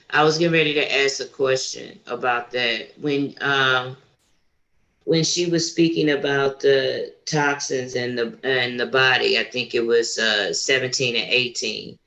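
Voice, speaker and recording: A man's voice: medium pitch, vocal fry, a bit bright, echoey room. Casual male student, slightly dull audio. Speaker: class participant. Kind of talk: casual question and reply in a class.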